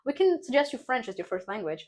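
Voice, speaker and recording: Fast-talking young woman, podcast guest. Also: on the high side. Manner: fast, animated storytelling with slang. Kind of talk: casual podcast chat.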